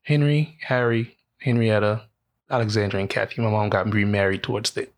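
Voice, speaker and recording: Animated man in his late thirties. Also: lowish voice, a little fast. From Bahamas. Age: 30s. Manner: animated storyteller, laughs often. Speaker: podcast guest. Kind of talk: casual storytelling interview.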